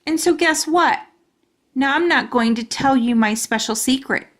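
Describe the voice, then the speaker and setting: A woman's voice: high voice, moderate pace, voice pushed up. A woman voicing an indignant, blunt kindergarten girl in a storybook, with emphatic childlike phrasing. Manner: childlike grammar, emphatic, blurting. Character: indignant, blunt kindergarten girl narrating her school day.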